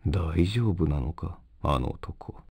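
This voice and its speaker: A man's voice: rumbling low, lively intonation, slow pace, vocal fry, a little mellow, a little hiss or hum, heavily acted. A man voicing a cool, stern assassin leader in an anime video game, terse and formal in manner. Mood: cool, weary.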